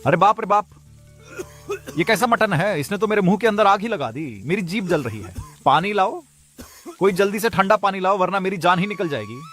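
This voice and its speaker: High-voiced blustering con-man crook played by an actor. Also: animated, moderate pace, a bit bright, soft background music. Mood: panicked. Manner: threatening, exaggerated. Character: blustering con-man thief posing as an official. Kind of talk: Hindi cartoon moral-story voice acting.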